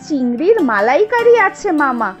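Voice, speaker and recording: Woman acting a high, lilting young daughter-in-law. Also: very animated, moderate pace. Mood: eager, attentive. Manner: polite, deferential. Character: sweet, dutiful, eager young daughter-in-law. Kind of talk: animated family cartoon dialogue. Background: soft background music.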